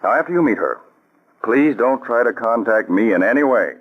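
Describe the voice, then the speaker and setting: A man's voice: mid-range voice, expressive, moderate pace, natural voice. An actor playing a firm, authoritative male spy chief in a 1940s radio drama. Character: commanding male chief of counterspies. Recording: dull old radio broadcast.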